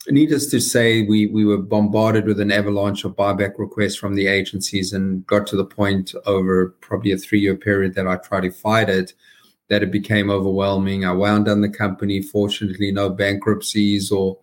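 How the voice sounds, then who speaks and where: A middle-aged or older man with a South African accent, answering conversationally as a podcast guest. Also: on the low side. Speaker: podcast guest, company founder and chief executive.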